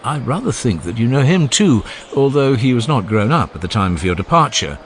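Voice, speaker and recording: Cultured Athenian gentleman played by a male narrator, assured and proud, lively intonation, faint noise behind, classical audiobook. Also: mid-range voice, brisk, pitched above the performer's own voice, lightly acted.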